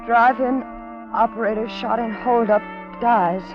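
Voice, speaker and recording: A woman's voice: a little high, steady tone, measured pace, music in the background, close to an everyday voice. Woman playing a frightened heroine, muffled old radio. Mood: shaken. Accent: American. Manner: pleading, tense hesitations. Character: frightened, pleading young woman caught up in crime. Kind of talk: 1940s radio crime drama acting. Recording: dull lo-fi 1940s radio recording.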